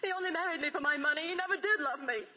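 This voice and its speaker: An actress playing an overwrought young wife in 1940s radio drama, tearful, bitter and dramatic. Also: female voice, extremely high pitch, very fast, bright, forceful tone, exaggerated voice. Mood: bitter, distraught. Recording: muffled 1940 radio broadcast.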